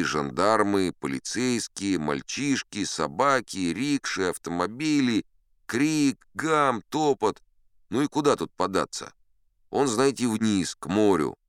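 Man playing a deep-voiced, wry old-salt sea captain. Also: no room sound, studio quality. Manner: conversational, wry, leisurely asides to the listener. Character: seasoned sea captain spinning tall tales, unflappable, chatty. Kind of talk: theatrical audiobook storytelling in first person.

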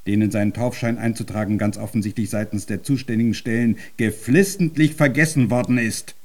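An actor playing a stubborn, self-important composer in a German radio drama, speaking formally and with pedantic emphasis. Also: male voice, on the low side, steady tone, a little fast, natural voice. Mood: insistent, pedantic.

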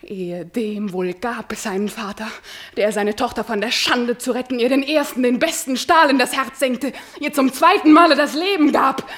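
A husky, passionate young daughter. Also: female voice, fairly high pitch, normal speed, hardly any pauses. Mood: desperate, pleading. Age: young adult. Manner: intense, declamatory, swinging from hushed whispers to outbursts. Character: proud, passionate young daughter in anguish, defiant and desperate. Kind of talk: classical German stage tragedy as radio drama.

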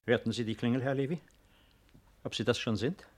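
An actor playing a proud, resigned baron awaiting arrest in a 1950s German radio play, speaking in a measured, formal, hesitant way. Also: male voice, medium pitch, natural voice. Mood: anxious, resigned.

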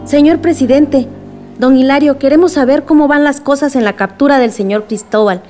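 A woman playing a village activist in a radio drama. Her voice is fairly high, polite yet firm and insistent. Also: moderate pace, dark tone, soft background music, natural voice. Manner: insistent, respectful.

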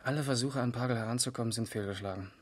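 Actor as a sober, methodical police investigator. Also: male voice, medium pitch, very fast, natural voice. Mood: sober, reporting. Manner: matter-of-fact, controlled, precise. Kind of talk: 1970s German radio crime drama acting.

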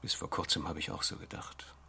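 An actor playing an uneasy space official reviewing secret tapes, hesitant and measured, in a radio play. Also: male voice, sharp tone, in a whisper. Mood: worried, pensive.

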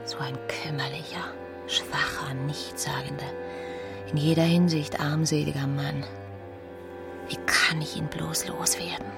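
An actress playing a bored, discontented young wife with secret contempt, brooding and intimate in a radio drama. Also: female voice, sits low, level tone, measured pace, gravelly. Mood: weary contempt. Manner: brooding, intimate, sometimes murmured. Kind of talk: German radio drama acting. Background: music.

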